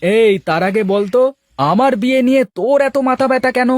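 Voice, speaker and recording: A man playing a self-important, excitable ageing village bachelor in a folk-tale cartoon. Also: very high-pitched, a little fast, dry recording, studio quality. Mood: dismissive.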